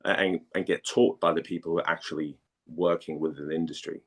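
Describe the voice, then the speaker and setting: A man's voice: low-pitched, steady tone, fast talker, fairly bright tone. A man from Denmark speaking as a guest in a casual interview, informal, hesitant and self-deprecating. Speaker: interview guest, voice actor and former model.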